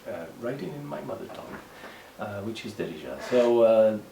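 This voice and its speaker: A Moroccan man, a native speaker of Moroccan Arabic, talking in English with a Moroccan accent in a halting interview monologue, full of fillers and restarts. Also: lowish voice, big pitch swings, gravelly. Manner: hesitant, many fillers and restarts. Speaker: writer. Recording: echoey room, mic at a distance, faint background noise.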